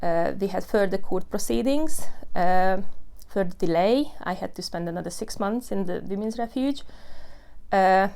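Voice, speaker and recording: Smooth-voiced woman in her thirties. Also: mid-range voice, normal speed, a little mellow. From Hungary. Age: 30s. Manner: earnest, with many fillers like "you know" and "uh". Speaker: tenant telling her story. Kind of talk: personal testimony.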